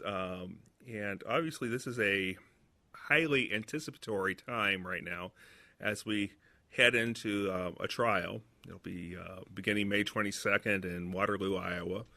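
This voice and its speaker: A man moderating a podcast roundtable. His low voice has a bright, forceful edge, recorded dry. He asks casual questions, pausing on ums and uhs. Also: no echo. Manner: casual, interviewing, with fillers. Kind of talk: roundtable discussion.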